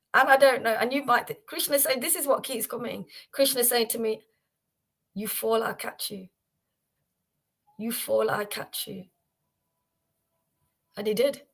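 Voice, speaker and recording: A woman hosting a devotional livestream, talking informally and repetitively to viewers, with many fillers and restarts, addressing them by name. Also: a little high. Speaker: host of a devotional livestream gathering.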